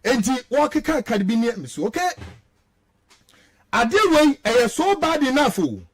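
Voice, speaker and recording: A man's voice: extremely high pitch, sing-song, moderate pace. A man with a Ghanaian accent giving heated, indignant political commentary on radio, mixing languages and repeating words for emphasis. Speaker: radio show guest commentator.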